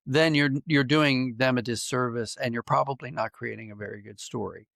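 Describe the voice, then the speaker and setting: A man answering interview questions conversationally as a writer, thoughtful and halting, circling back to rephrase as he goes. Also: medium pitch, fairly even intonation, gravelly, a bit bright, dry recording, clean studio sound. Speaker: podcast guest, writer and dramatist.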